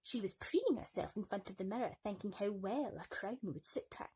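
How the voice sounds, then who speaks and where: A woman narrating a bedtime story in an expressive, theatrical way. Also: mid-range voice, brisk, breathy edge.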